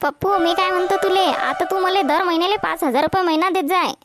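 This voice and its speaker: A woman's voice: extremely high pitch, brisk. A woman voicing a cheeky, boastful young village woman in a comedy cartoon, arguing in a Vidarbha Marathi accent. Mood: demanding. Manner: argumentative, self-satisfied.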